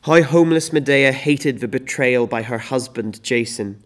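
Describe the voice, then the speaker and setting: A man playing a solemn storyteller, giving deliberate scripted narration of a Greek tragedy. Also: medium pitch, fairly bright tone, lightly acted.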